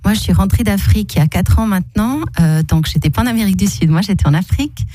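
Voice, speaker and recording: A woman's voice: medium pitch, quick pace, warm tone, no room sound. A woman of about sixty, Portuguese by origin, recalling memories thoughtfully with many hesitations as a guest in a radio studio. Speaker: library director, radio guest. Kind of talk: casual radio interview. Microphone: studio microphone.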